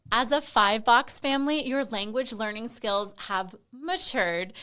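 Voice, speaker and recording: A woman's voice: on the high side, fairly bright tone. A woman giving a scripted promotional explainer for families, speaking in an encouraging, emphatic way. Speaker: company presenter.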